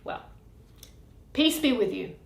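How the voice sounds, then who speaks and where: A poised, clever lady in lawyer's disguise speaks measured Shakespearean verse as a woman reads her. Her voice is fairly high, in an echoey room. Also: lively intonation, creaky, full character voice. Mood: cool, offended. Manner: measured, formal, archaic verse delivery. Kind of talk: Shakespearean stage reading. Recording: roomy, echoey indoor recording.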